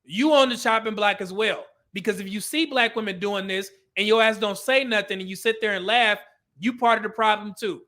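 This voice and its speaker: A man's voice: high-pitched, fairly quick, sharp tone. A male commentary host ranting over clips, blunt and profane, with a preachy edge.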